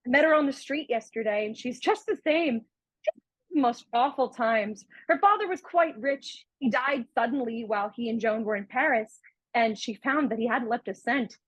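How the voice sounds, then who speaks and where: A woman's voice: high voice, breathy edge, no room sound, poor audio. An actress playing a breezy, affectionate young engaged woman in a comic radio play, speaking in an earnest, chatty way. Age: young adult.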